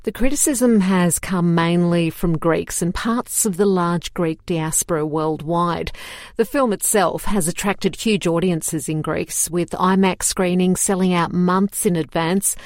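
A woman narrating a scripted news report in a measured, even tone. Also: on the low side, no room sound, studio quality.

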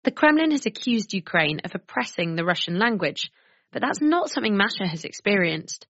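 A woman reporter and presenter narrating a documentary series in a measured, clear way. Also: medium pitch, very animated, fairly bright tone, no echo, lo-fi sound. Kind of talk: scripted narration.

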